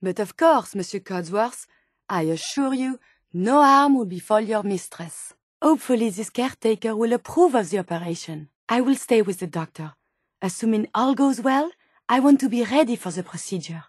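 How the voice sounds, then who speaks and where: Game companion: inquisitive female French robot scientist, higher register, formal, kindly, studio-dry. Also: lively intonation, moderate pace, no room sound. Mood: polite, reassuring. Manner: polite, formal, precise phrasing. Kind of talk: video game character dialogue.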